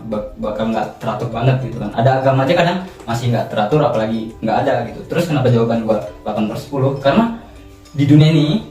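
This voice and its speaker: A man's voice: mid-range voice, darkish tone. A man in his twenties, a student, explaining his views casually in slangy speech with restarts. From Indonesia. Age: 20s. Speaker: student interviewee. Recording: roomy distant mic with music.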